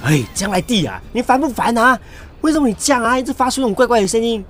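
A man's voice: very high voice, sing-song, fast, fairly bright tone, exaggerated voice. A man playing a boastful, doting night-market hawker father in a comedic radio drama, with a Singaporean accent, exclaiming and mixing in English. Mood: exasperated, coaxing. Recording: radio drama with music bed.

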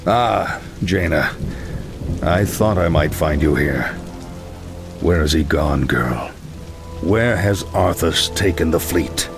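Game veteran knight: weighty, paternal, low and unhurried. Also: male voice, creaky, music in the background, heavily acted. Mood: grave questioning. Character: stern, fatherly older paladin knight. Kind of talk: video game cutscene acting.

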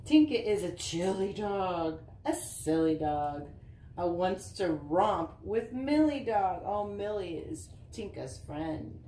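Slow-paced woman reading to children, roomy sound. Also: fairly low pitch. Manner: expressive, singsong reading with chatty asides to children. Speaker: volunteer reading aloud to children. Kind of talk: picture book read-aloud for children. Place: living room indoors. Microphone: camera a short distance away. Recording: roomy, distant camera sound with faint noise.

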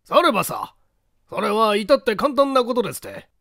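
A man acts out a genteel lord of an old castle in an audiobook. His voice is high and very lively in intonation as he formally unfolds old secrets. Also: vocal fry, no room sound, studio quality, exaggerated voice. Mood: courteous, explanatory. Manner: formal, measured storytelling. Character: courteous male castle owner, explaining old secrets.

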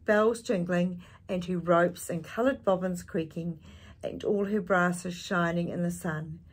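Husky older woman reading a children's story. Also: lowish voice. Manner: animated, addressing listeners with tag questions. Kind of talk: expressive picture-book reading with chatty asides to young listeners. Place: small indoor space with curtain backdrop.

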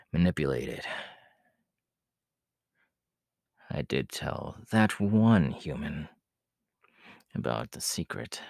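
A man voicing a menacing, haughty demon king in an ASMR audio roleplay, slow and imperious, in a gravelly, breathy half-whisper. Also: rumbling low, dry recording, studio quality, exaggerated voice. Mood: scornful scheming. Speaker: voice actor.

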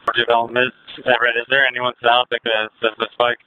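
A man's voice: mid-range voice, completely flat delivery, quick pace, phone-quality sound. A man working as a state patrol officer, giving terse, clipped reports over a police radio. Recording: noisy police scanner radio audio.

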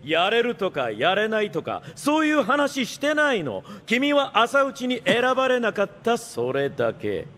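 A man's voice: high-pitched, wildly swooping pitch, unhurried, few pauses, a bit bright, full character voice. A male actor playing a flamboyant, cocky showman swordsmith in an anime, with a theatrical, playful sing-song delivery that can turn cold. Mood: cold, mocking. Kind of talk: Japanese anime voice acting.

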